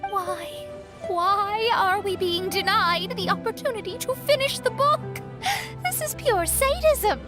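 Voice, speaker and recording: A woman's voice: very high-pitched, unhurried, over music. A woman playing a proud, dramatic young astrologist in an anime-style video game, haughty and emphatic. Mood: dramatic anguish.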